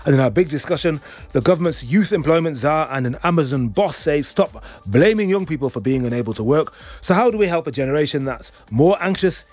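Radio presenter over faint music. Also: male voice, fairly high pitch, a little mellow, dry recording. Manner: brisk, headline-style delivery. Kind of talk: scripted show opening.

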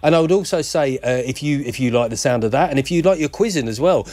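A man's voice: mid-range voice, fast, a little mellow, dry recording. Radio host: man, rambling, chatty, dry close studio sound. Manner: chatty, rambling, self-interrupting. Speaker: radio quiz show host. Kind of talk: live radio presenting. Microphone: studio mic.

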